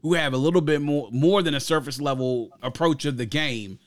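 A man's voice: a little high, brisk. A man hosting an album review, giving reflective, opinionated commentary in a conversational way and explaining his points at length. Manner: reflective, explains through extended analogies. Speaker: host of an album review discussion.

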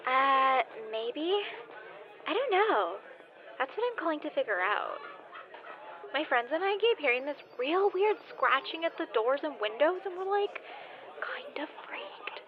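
A woman's voice: high-pitched, very animated, unhurried. A woman acting as a dazed, laid-back young caller in an audio comedy drama, hesitant and slangy on a muffled phone line. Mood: dazed, uneasy. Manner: spacey, hesitant, slangy drawl. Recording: muffled phone call, background crowd chatter.

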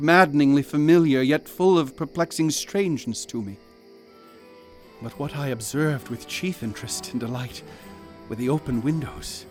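A man's voice: a little high, natural voice. A man narrating as a haunted soul in dread. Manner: ornate, slow, dread-laden. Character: haunted man narrating his own horror. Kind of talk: dramatic horror narration.

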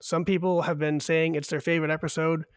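A man's voice: fairly high pitch, level tone, a little fast, smooth, no room sound, studio quality. A man co-hosting a podcast with a cold, chatting casually and walking through survey numbers in an upbeat way. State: has a cold. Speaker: podcast co-host. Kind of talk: casual chat.

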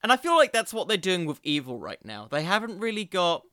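A man's voice: high voice, sing-song, fairly quick, sharp tone, clear, resonant tone, no echo, clean studio sound. A man hosting a solo podcast, giving casual, opinionated commentary in an informal, digressive way. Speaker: podcast host.

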